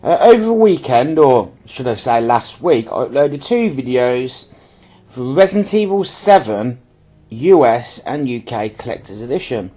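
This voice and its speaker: A man's voice: medium pitch, lively intonation, measured pace, dark tone. A man with a slightly bunged-up, stuffy-nosed voice, giving informal, rambling and apologetic commentary as he presents an item in his own video. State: stuffy with a blocked nose. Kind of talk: casual unboxing-style product commentary.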